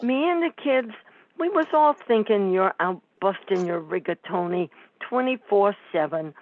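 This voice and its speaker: A woman's voice: medium pitch, expressive, a little slow, natural voice. A woman playing a sarcastic, nagging, middle-aged mobster's wife and mother in an amateur comic radio play, heard through a tinny recording. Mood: conciliatory.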